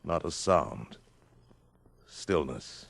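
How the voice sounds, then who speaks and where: A man acting as a solemn, dramatic narrator in a 1950s radio play, with deliberate phrasing. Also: a bass voice, expressive, vocal fry, heavily acted. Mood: hushed, ominous. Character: solemn, portentous male storyteller narrator. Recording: old radio broadcast recording.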